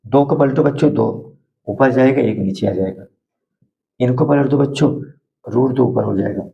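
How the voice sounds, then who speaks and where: A hoarse man teaching math to school students at a board, repeating steps and asking questions that he answers himself. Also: mid-range voice, normal speed, muffled recording. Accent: Hindi.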